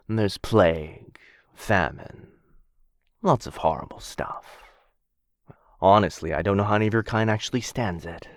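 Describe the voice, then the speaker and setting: A man's voice: low-pitched, no echo, studio quality, exaggerated voice. A man playing a smug, menacing, possessive dragon captor in a fantasy ASMR roleplay, drawling condescendingly and theatrically. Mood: persuasive, musing.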